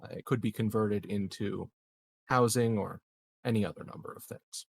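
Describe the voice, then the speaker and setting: Man playing a measured, evasive colony politician. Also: lowish voice, vocal fry, slightly rough. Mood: guarded, persuasive. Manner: hesitant, hedging, measured politician's talk. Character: smooth, evasive, scheming male colony leader in science fiction. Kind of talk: improvised tabletop roleplay.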